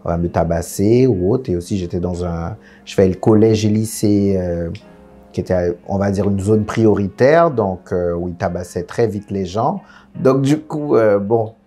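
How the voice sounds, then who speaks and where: A young man from French Guiana talks frankly and easily, his husky voice sitting low and mellow. He tells his story in a loose, casual way, full of fillers. Faint music runs beneath him. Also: normal speed. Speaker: guest. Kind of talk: candid casual interview chat.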